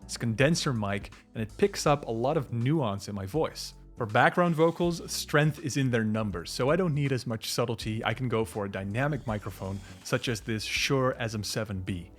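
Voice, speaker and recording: A young man with a low, full voice explaining vocal recording techniques to camera in a small home studio, talking with animated hand gestures. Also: moderate pace, few pauses, faint music behind. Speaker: music producer and vocal coach. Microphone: studio condenser mic on boom arm in front.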